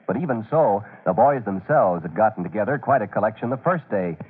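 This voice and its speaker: A man's voice: medium pitch, breathy edge. A man narrating a vintage radio drama as its announcer, with an emphatic, theatrical delivery. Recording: tinny noisy old radio recording.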